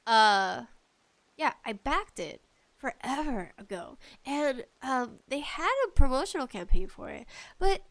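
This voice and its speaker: A woman with a nasal, congested voice hosting a casual, rambling solo podcast in an animated, pleading way with self-deprecating asides. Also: high-pitched, measured pace. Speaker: podcast host.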